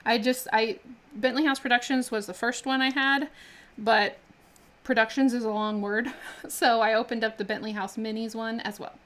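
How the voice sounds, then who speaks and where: A woman's voice: on the high side, steady tone, moderate pace, fairly bright tone. A woman chatting casually while she builds miniatures on a live stream, laughing often and talking with many fillers and restarts. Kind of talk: casual chat while crafting.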